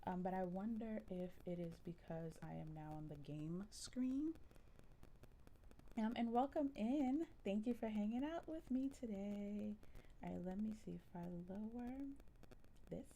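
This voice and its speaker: A woman with a generally soft voice chatting casually with viewers on a live stream, relaxed and full of ums. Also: medium pitch, measured pace, slight background noise, poor audio. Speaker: livestreamer.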